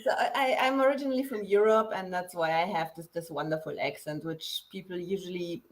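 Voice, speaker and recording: A woman from Poland who grew up in Germany, speaking English with a mixed Polish-German accent as an interview guest, repeating words and pausing with ums. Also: on the low side. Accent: Polish-German mix. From Poland, raised in Germany. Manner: stammering restarts, frequent ums, laughs easily. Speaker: guest author. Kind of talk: casual interview.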